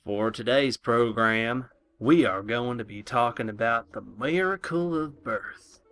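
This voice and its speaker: A man's voice: mid-range voice, measured pace, fairly bright tone. A man playing an eccentric, pompous town scientist giving a mock lecture in a comic audio drama, theatrical and prone to indignation. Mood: pompous lecturing.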